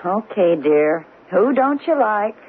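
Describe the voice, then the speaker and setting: An actress playing a quick-witted wife turned amateur sleuth in a 1970s radio drama, wry and quippy. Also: female voice, mid-range voice, very animated. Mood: wry, teasing. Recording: muffled, lo-fi, noisy old radio broadcast.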